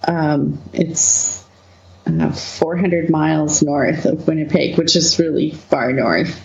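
A woman from Manitoba, Canada, a webcomic co-founder as the guest, talking through her past slowly with frequent laughs and fillers. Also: sits low, steady tone, creaky, a little mellow, roomy sound, dull audio, a little hiss or hum. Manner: slow, laughing often, many fillers. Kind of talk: relaxed interview conversation.

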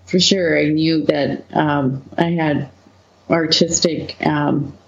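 A woman from Manitoba, Canada, a webcomic co-founder as the guest, talking through her past slowly with frequent laughs and fillers. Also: low-pitched, steady tone, vocal fry, roomy sound, muffled recording. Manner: slow, laughing often, many fillers. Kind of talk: relaxed interview conversation.

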